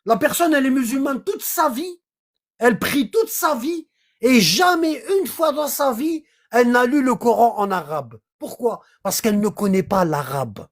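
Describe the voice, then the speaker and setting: A livestreamer in casual commentary, explaining scripture in an animated way and often checking in with short questions to the listener. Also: medium pitch, moderate pace. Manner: animated, acts out little dialogues, keeps checking in with "hein?" and "d'accord?". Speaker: livestreamer explaining Quran verses.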